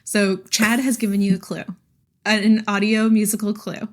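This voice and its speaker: A woman's voice: mid-range voice, flat delivery, moderate pace. A woman co-hosting a podcast, chatting casually about books in a giggly, enthusiastic way. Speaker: podcast co-host. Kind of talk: casual book chat.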